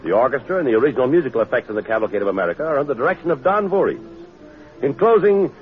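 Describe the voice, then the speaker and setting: A man's voice: medium pitch, big pitch swings, rapid-fire. A man announcing a scripted 1940s radio show in a formal, polished manner. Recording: muffled old radio broadcast, faint music.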